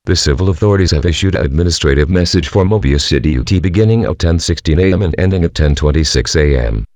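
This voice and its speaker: Extremely deep male alert announcer, acted out. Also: quick pace, a little mellow, lightly acted. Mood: official. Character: male emergency alert announcer reading all-clear. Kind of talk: formal emergency broadcast announcement.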